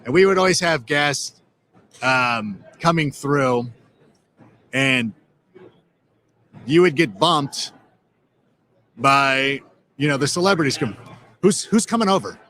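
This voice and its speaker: A man from Southern California hosting a casual live sports podcast, talking in an excitable, rambling way. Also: medium pitch, slow, pauses often. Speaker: podcast host. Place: live podcast table at a busy convention media area.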